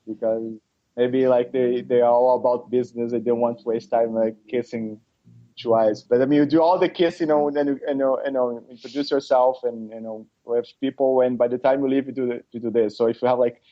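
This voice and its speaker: A middle-aged man from Brazil with a Brazilian accent, a podcast guest talking casually, halting with many fillers and restarts. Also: medium pitch, steady tone, highs cut off. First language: Portuguese. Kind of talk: casual interview chat.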